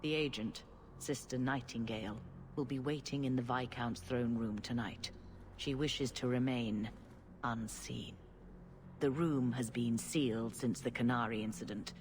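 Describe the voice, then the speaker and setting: A woman playing a solemn, cautious senior churchwoman in a fantasy video game, with formal, measured delivery. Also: rumbling low, steady tone, slight background noise.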